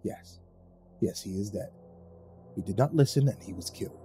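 A man doing a hushed, grave caretaker. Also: on the low side, soft background music, pitched below the performer's own voice, lightly acted. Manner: hushed, halting, formal phrasing. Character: grave quiet male caretaker, careful speech.

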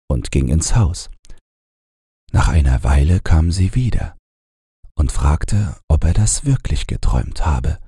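A man narrating a bedtime fairy tale as an audiobook reader, in a calm, measured storytelling manner. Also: a bass voice, breathy edge, no echo, clean studio sound.

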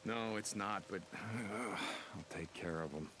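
An actor playing a gruff, short-tempered but loyal drifter biker in a video game, gravelly and sardonic, often muttering profanely. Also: male voice, fairly low pitch, takes it slowly. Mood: angry, sardonic. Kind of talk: cinematic video game acting. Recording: noisy game audio, ambience behind.

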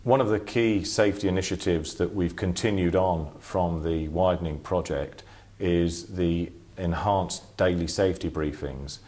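A man in his forties speaking in a steady, businesslike way in a corporate interview. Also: sits low, measured pace. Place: indoor office with display boards.

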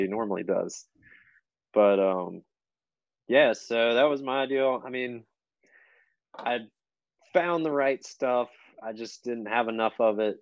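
A man's voice: lowish voice, no echo. A man chatting casually as a podcast guest, laid-back and slangy, often repeating himself. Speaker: podcast guest, tournament angler. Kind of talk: casual chat. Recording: dull, lo-fi call audio.

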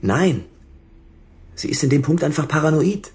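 An actor playing an irritable, suspicious husband in a radio drama, answering curtly and defensively. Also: male voice, a little high, very animated, normal speed, a little mellow. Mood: indignant. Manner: curt, indignant, suspicious. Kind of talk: German radio drama acting.

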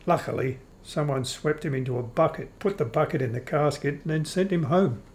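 An actor playing a courteous, matter-of-fact vampire count and husband in a radio play. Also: male voice, fairly high pitch, darkish tone, a little hiss or hum, close to an everyday voice. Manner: measured, courteous, explanatory. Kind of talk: old-time radio play acting.

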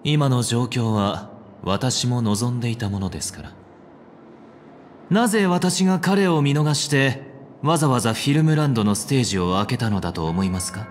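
A man voicing a composed, elegant young head of a family in a video game, speaking formally and deliberately with quiet menace. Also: medium pitch, animated, slight background noise, lightly acted. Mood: cold, menacing. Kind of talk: dramatic video game voice acting.

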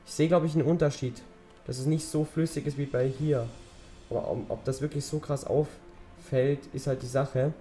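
Teen guy rambling while he plays, bit hoarse from a cold, mellow voice, faint music behind. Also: mid-range voice, steady tone, normal speed. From German-speaking Europe. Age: teens. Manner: rambling, chatty, talks while gaming. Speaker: gaming channel host. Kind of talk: casual let's-play commentary.

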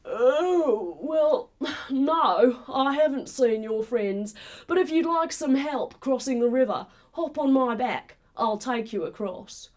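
A woman's voice: sits high, expressive, takes it slowly, darkish tone. A woman voicing a big, lazy, friendly male crocodile in a children's story, languid and yawning. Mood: lazy, obliging.

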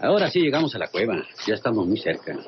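Roguish rustic Mexican bandit, swooping pitch. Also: male voice, very animated, fast, background noise. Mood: explaining. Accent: northern Mexican. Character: roguish, jolly one-handed rustic Mexican male bandit. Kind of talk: comic radio drama acting. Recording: muffled radio drama under loud ambience.

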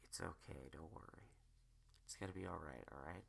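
Man, close-mic ASMR whisperer; very low, gravelly, breathy, unhurried, gently reassuring. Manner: soft, soothing, reassuring, hesitant pauses. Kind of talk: whispered ASMR comfort roleplay.

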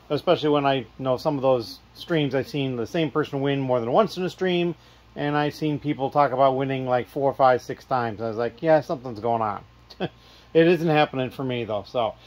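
A man talking casually to his camera as he unpacks boxes, thinking aloud with lots of fillers and restarts. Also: medium pitch. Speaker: vlogger, toy brick reseller. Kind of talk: casual vlog narration. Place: cluttered basement storage room, indoors.

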